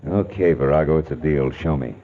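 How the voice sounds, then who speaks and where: An American actor playing a wry, tough private detective with slangy, wisecracking delivery in a 1940s radio drama. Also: male voice, extremely low pitch, a little fast, background noise. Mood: insistent, wry. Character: wry, tough male private detective narrating his case. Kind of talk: 1940s radio acting, hardboiled detective narration. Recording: dull vintage radio recording, music behind.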